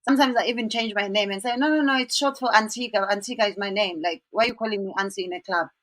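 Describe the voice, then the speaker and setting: A woman's voice: medium pitch, racing, a bit bright. Woman host, teasing and playful, webcam chat from home, mid-range voice. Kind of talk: casual video-call chat. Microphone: webcam on a video call.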